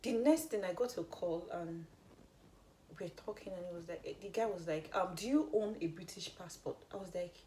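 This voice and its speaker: A woman's voice: fairly low pitch, normal speed, mellow, slight background noise. Young nigerian woman, casual chat, lots of ums, faint ambience. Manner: hesitant, with many ums. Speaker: co-host, international student.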